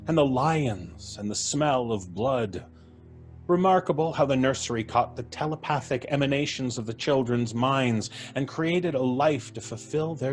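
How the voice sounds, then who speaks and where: Man narrating a tale over music. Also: medium pitch. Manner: measured, brisk storytelling. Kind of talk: scripted story narration.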